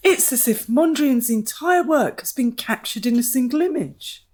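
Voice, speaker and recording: A woman's voice: fairly high pitch, expressive, normal speed, a little mellow. An actress playing an opinionated, snobbish art lover, florid in her delivery, in a radio comedy drama. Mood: awestruck. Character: arty, snobbish newcomer woman, gushing yet scathing.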